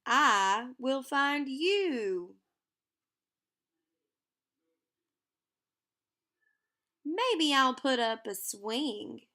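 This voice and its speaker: Little girl storybook character, acted by a woman, eager, imaginative, gushing, very high, bright voice. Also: extremely slow, full character voice. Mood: eager, dreamy. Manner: excitable, gushing. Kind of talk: children's storybook character reading.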